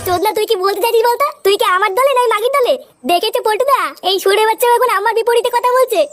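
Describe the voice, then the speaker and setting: A performer voicing an excitable, foul-mouthed cartoon village youngster in speech, with a squeaky pitched-up effect. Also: very fast, soft background music. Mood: agitated. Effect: pitch-shifted cartoon voice. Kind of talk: comedy cartoon dialogue.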